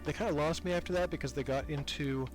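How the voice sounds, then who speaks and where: A man's voice: on the high side, steady tone, fast, faint music behind. A man being interviewed as a podcast creator, talking casually and reflectively in a self-deprecating, hesitant way, repeating words as he thinks.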